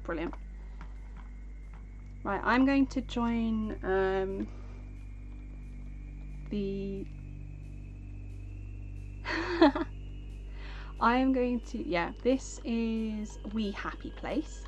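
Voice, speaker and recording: Unhurried woman streaming a game. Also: a little high, expressive, highs cut off, slight background noise. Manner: chatty, rambling, thanks viewers by name. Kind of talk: casual live-stream chat. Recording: game music playing underneath.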